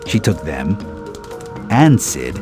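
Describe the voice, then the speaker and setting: An actor voicing a gruff, sardonic mammoth father in a cartoon game, telling a bedtime story with wry, dramatic delivery. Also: extremely low pitch, sing-song, takes it slowly, full character voice. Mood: storytelling. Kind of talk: animated storytelling to a young child. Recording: loud music behind the voice.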